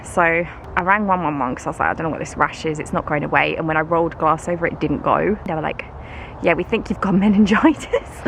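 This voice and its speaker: A British woman with a congested, bunged-up nose, walking through the woods as she chats to camera in a self-mocking way and laughs often. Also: lowish voice. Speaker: vlogger and schoolteacher. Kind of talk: casual vlog chat while walking. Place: woods outdoors. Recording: noisy outdoor camera recording. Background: outdoor ambience, passing vehicles.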